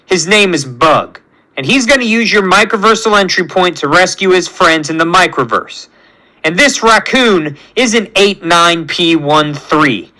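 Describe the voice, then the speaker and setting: A righteous male space adventurer and team leader, acted out by a male storyteller, bright and lively in pitch. He makes earnest, defiant declarations in a high-set voice. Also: measured pace, exaggerated voice, low-quality recording. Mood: defiant, resolute.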